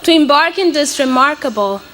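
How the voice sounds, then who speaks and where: Bright-voiced young woman giving a speech. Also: high voice, expressive, normal speed. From Mozambique. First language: Portuguese. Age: young adult. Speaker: graduation speaker. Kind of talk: prepared ceremonial speech.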